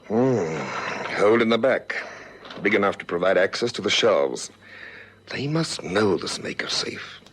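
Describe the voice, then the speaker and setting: An actor playing a methodical, quietly authoritative police lieutenant, musing in deliberate clipped phrases in a vintage radio drama. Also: male voice, on the low side, animated. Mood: musing, businesslike. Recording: faintly noisy vintage radio recording.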